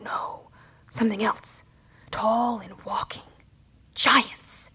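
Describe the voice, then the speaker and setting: An actress playing a wary, resolute leader of fugitives in an audio drama, breathy, hushed and terse. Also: female voice, on the high side, steady tone, slow pace, in a whisper, heavily acted. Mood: alarmed, hushed.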